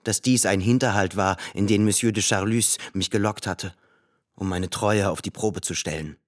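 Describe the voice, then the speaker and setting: A man's voice: fairly low pitch, steady tone, moderate pace, lightly acted. An actor playing a suspicious, fearful man recounting a trap in a tense radio drama monologue.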